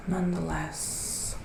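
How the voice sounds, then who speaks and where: Gentle male psychic witch, acted by a man, soft ASMR delivery drifting into whispers, gravelly fry, roomy noisy recording. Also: high voice, exaggerated voice. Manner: hesitant, drawn-out phrasing with pauses. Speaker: ASMR performer. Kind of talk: soft-spoken ASMR roleplay, partly whispered. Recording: roomy echo, clear background noise.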